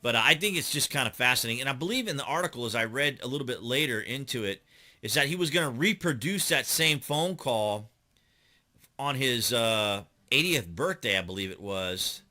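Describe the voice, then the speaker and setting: A man's voice: medium pitch, animated, brisk, sharp tone. A middle-aged American man hosting a news commentary channel, reading and commenting in a conversational way that leans on key words.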